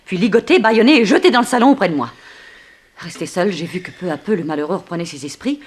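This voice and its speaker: Casting: refined, earnest prisoner for vintage radio serial, lowish voice, rapid dramatic delivery. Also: female voice, expressive, slightly rough. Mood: dramatic, urgent. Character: earnest, refined captive woman pleading for trust. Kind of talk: 1960s French radio drama acting.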